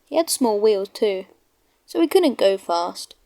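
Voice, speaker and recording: A woman's voice: medium pitch, sing-song, unhurried, creaky, dark tone. A woman narrating a children's storybook from a script.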